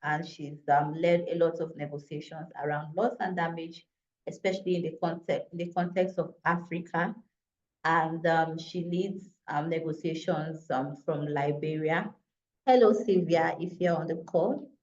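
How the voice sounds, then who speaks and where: A woman's voice: low-pitched, fairly even intonation, moderate pace, a little mellow. A woman moderating an online session, polite and hesitant, with many ums. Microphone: video call. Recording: dull, roomy video-call audio.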